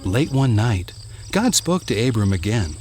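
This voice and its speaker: A man narrating a scripted children's Bible story in an expressive way, drawing out words for emphasis. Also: lowish voice, a little slow, over music.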